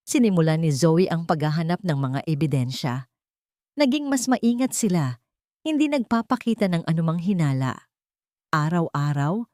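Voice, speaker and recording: A woman's voice: low-pitched, dark tone, dry recording, studio quality. A woman reading a scripted dramatic story as its narrator. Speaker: narrator of romantic drama stories.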